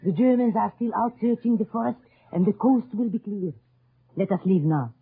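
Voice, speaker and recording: Husky French resistance woman. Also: mid-range voice, animated, moderate pace, dry recording. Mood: brisk, conspiratorial. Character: brisk, bold French resistance charwoman spying on Germans. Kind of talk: 1940s-50s radio drama acting. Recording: muffled old radio recording.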